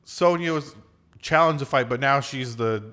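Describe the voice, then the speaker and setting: A man with a mid-pitched voice talks as a podcast co-host giving a casual review. His delivery is loose and conversational, with thoughts pieced together and repeated as he goes. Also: normal speed, sharp tone. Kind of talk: casual movie review chat.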